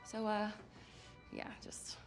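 An actress playing a nervous, chatty rookie TV producer who rambles and apologises in a video game. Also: female voice, slow pace, soft background music, natural voice. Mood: nervous, busy. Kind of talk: cinematic video game dialogue.

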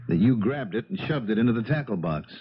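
An actor playing a steady, probing police investigator in a 1970s radio drama. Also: male voice, mid-range voice, fast, breathy edge. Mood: probing, grave. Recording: dull vintage radio recording.

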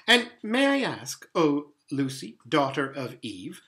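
A man's voice: a little high, big pitch swings, slow pace, pushed and bright, exaggerated voice. A man voicing a courteous, flustered faun in a children's storybook, fussy and polite, often stammering and repeating himself. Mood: curious. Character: courteous, flustered young-hearted male faun, eager to please.

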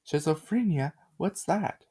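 A man's voice: a little high, expressive, unhurried, warm tone, breathy edge, pitched above the performer's own voice. A man voicing a worried, puzzled female creature who keeps asking questions.

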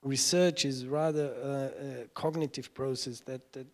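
Male moderator, middle-aged, very unhurried and halting, thinking aloud at a seminar. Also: mid-range voice, fairly even intonation, darkish tone. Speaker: panel moderator. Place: lecture hall stage, seated on a panel. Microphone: handheld microphone.

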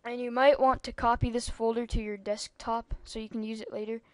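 A woman's voice: on the high side, little pitch movement, fairly quick, darkish tone, no room sound. A young-sounding female speaker giving a rambling, casual computer tutorial over a screen recording, with lots of ums and asides. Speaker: tutorial maker.

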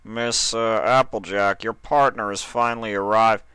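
Man doing a fussy, deadpan bureaucrat pony. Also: lowish voice, smooth, dry recording, voice pushed up. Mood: formal. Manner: slow, deliberate, formal, deadpan.

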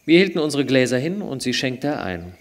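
A middle-aged man narrating a live radio play on stage with expressive, theatrical storytelling into a headset mic. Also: mid-range voice, normal speed.

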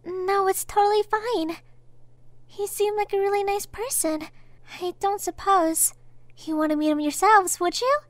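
A woman's voice: very high voice, roomy sound. A woman voicing a sweet, earnest, easily startled schoolgirl in a horror game fan dub, with a girlish voice. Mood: bright, reassuring. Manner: earnest, halting when nervous. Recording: music behind the voice.

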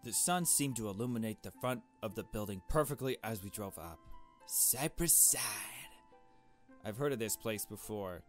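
A man's voice: on the low side, lively intonation, normal speed, faint music behind. A man reading visual novel text aloud and adding informal, joking commentary of his own.

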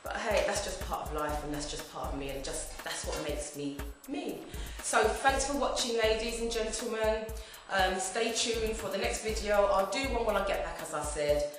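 A woman, around her forties, chatting to camera in a rambling, chatty way, her voice a little hoarse from a throat infection. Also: mid-range voice, normal speed. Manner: chatty and rambling, lively gestures. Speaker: wig review vlogger. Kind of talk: casual chat to camera. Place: home room indoors. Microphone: camera at mid distance. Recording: very echoey room with faint music.

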